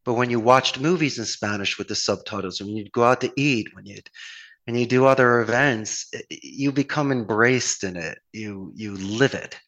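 A man's voice: medium pitch, normal speed, poor audio. A man with a Philadelphia accent giving friendly, rambling advice in a casual chat. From Philadelphia area, United States. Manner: animated, folksy, encouraging, rambling with restarts.

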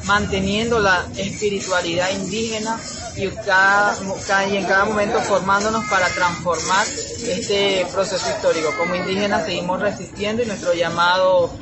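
A man's voice: sits high, steady tone, moderate pace, over a noisy crowd, very noisy. A young man giving a rallying public address as a youth activist. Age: young adult.

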